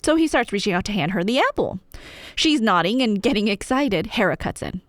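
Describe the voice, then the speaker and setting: A woman's voice: mid-range voice, lively intonation, brisk, no echo, clean studio sound. A woman telling a scripted story in a casual, chatty way, with playful, wry asides as an ASMR roleplay storyteller. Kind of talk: casual, scripted myth retelling.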